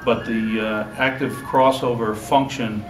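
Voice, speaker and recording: Guy describing his product, kinda halting and plain, roomy echo with music playing behind him. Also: fairly low pitch, steady tone. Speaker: loudspeaker maker. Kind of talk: product explanation in an interview. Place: exhibit room at an audio show. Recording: echoey room, music behind.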